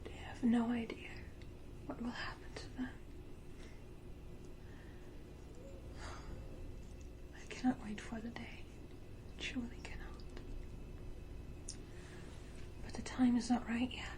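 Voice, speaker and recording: A woman voicing a menacing, tenderly cruel vampire matriarch in a slow, breathy, theatrical whisper for an ASMR roleplay. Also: a little high, flat delivery, close to an everyday voice, low-quality recording. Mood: cold, vengeful.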